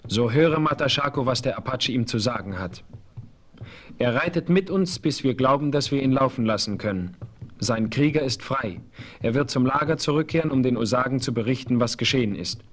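A noble Apache chief in a radio play speaks sternly and formally, often calling himself by his title , as a man voices him. His mid-range voice stays measured and dignified. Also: medium pitch, expressive, close to an everyday voice. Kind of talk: 1970s radio play acting.

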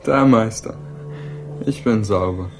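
An actor playing an insolent small-time hippie in a 1960s German radio crime drama, mocking, evasive and slangy. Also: male voice, lowish voice, slow. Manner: drawling, taunting, slangy.

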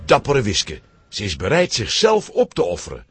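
A man with a husky voice narrating a children's audio drama as dramatic scripted storytelling. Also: lowish voice, wildly swooping pitch, moderate pace, a little hiss or hum.

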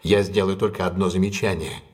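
Deep-voiced, shrewd gentleman detective played by a man. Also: steady tone, a little fast, natural voice. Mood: decisive, measured. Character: composed, decisive gentleman detective, shrewd and courteous. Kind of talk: audio drama acting.

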